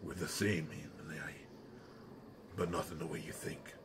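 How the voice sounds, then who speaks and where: Man playing low-voiced hulking monster, slow-witted, gruffly protective, halting phrases, hushed then suddenly loud, audio drama, noisy ambience behind. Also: fairly even intonation, close to an everyday voice. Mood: hushed, menacing. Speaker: audio roleplay performer. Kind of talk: intimate dramatic audio roleplay monologue.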